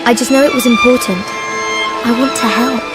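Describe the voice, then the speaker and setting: A gentle young game heroine, acted by a woman, speaks in a fairly high, soft voice. She is hesitant but kind and quietly resolute. Loud orchestral strings play behind her. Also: steady tone, brisk, over loud music, natural voice. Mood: earnest, pleading. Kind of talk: video game cutscene voice acting. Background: violin-led game score.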